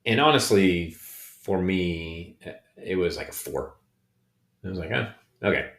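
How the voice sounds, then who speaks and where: A middle-aged man co-hosting a podcast, talking reflectively in casual conversation, with many pauses and restarts. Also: sits low, lively intonation, fairly bright tone. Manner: hesitant, many ums and restarts. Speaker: podcast co-host. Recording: echoey room.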